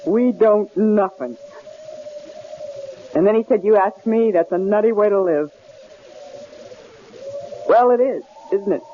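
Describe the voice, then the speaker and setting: An actress playing a vulnerable, earnest wife in a 1970s radio drama, speaking in a hesitant, emotional way. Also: female voice, mid-range voice, takes it slowly, long pauses, narrow, tinny audio, close to an everyday voice. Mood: reflective. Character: vulnerable, earnest wife confessing her feelings. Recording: thin, noisy old radio broadcast.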